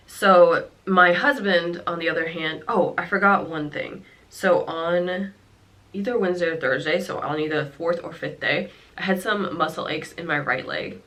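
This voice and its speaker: A woman's voice: deep voice, pushed and bright. A young woman with a congested, nasal voice, casually chatting to the camera at home about how she has been feeling, rambling with fillers and little laughs. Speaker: vlogger. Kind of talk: casual talk to camera about her illness. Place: her home.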